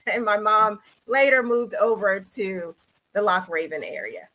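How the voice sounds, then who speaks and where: A cheerful woman from Baltimore chatting as an interview guest, quick to chuckle. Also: medium pitch, pushed and bright, poor audio. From Baltimore, United States. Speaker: strategy consultant, show guest. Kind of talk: conversational interview.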